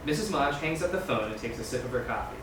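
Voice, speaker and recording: Male narrator, screenplay scene directions, brisk pace, distant, very reverberant room, background noise. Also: medium pitch, animated. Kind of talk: scripted narration at table read.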